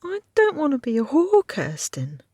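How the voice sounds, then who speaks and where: A woman's voice: high voice, sing-song, a little slow, darkish tone, no room sound, studio quality, pitched above the performer's own voice, heavily acted. A reader voicing a frightened young servant girl, pleading and crying. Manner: pleading, trembling.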